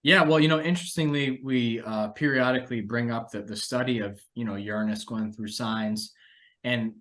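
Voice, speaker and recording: A man hosting a market analysis show, giving conversational financial commentary in a measured way, thinking aloud as he goes. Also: mid-range voice, steady tone, a bit bright. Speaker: host of a market analysis podcast.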